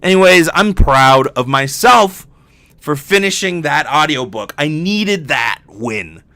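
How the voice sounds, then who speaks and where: Higher-pitched man rambling in a dry recording. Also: expressive, takes it slowly, pushed and bright, no echo. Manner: self-deprecating, joking, sweary. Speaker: podcast host, voice actor. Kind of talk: casual solo podcast monologue, rambling.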